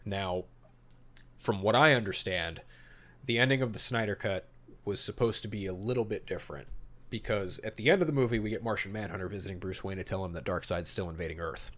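Low-voiced, opinionated male podcast host. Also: brisk, a bit bright. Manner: opinionated, emphatic, mildly profane. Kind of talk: podcast talk mixing read-out storyboard summary and casual commentary.

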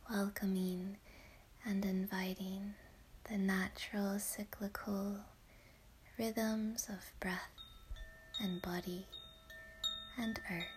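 A woman whispering a guided yoga nidra meditation in a hushed, soothing way. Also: medium pitch, little pitch movement, takes it slowly, pauses often, a little hiss or hum.

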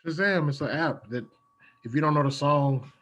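A man's voice: a little high, moderate pace, a bit bright. A man talking casually in an informal podcast conversation.

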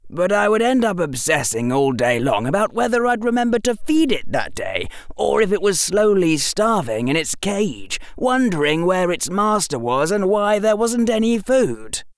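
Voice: very animated, normal speed, hardly any pauses, no room sound, studio quality. A performer voicing a precocious, indignant young boy, emphatic and fiercely defensive. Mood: anxious musing. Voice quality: shaky, climbing toward shrill. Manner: emphatic, articulate, argumentative.

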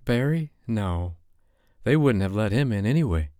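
Gentle woman survivor, voiced by a man, lifted pitch. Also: animated, moderate pace, dry recording, studio quality, natural voice. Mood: guarded. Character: gentle woman survivor, tentative but resilient.

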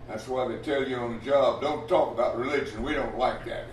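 A man's voice: medium pitch, fairly even intonation, brisk. A man teaching scripture in a big, emphatic voice that carries through the room. He keeps checking in with rhetorical questions. He's picked up from some distance in an echoey hall, with faint noise underneath. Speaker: Bible class teacher. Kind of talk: Bible study sermon. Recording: roomy hall, mic from afar, faint noise.

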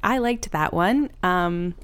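A woman's voice: fairly low pitch, slow pace, fairly bright tone, smooth. A woman co-hosting a casual talk show, bantering in a wry, teasing and sometimes sweary way. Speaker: podcast co-host.

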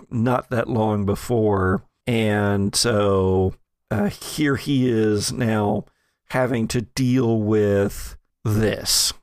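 Male game master, amiable improvised narration, slow and halting, noticeable vocal fry. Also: medium pitch, no room sound, clean studio sound.

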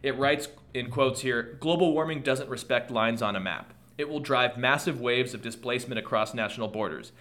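A man's voice: mid-range voice, normal speed, hardly any pauses, a bit bright. A man hosting a solo podcast, commenting on world news in a conversational way, thinking aloud with restarts and asides, and losing his voice. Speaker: podcast host.

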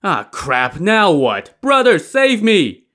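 A man's voice: mid-range voice, expressive, moderate pace, bright, forceful tone, pitched above the performer's own voice. A man voicing a frantic, gullible male traveler in a story, whining and pleading in exclamations. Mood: panicked.

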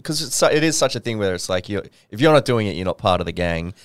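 A man co-hosting a casual podcast chat, quick and opinionated. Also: fairly low pitch, expressive, clear, resonant tone, dry recording, clean studio sound. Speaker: podcast co-host.

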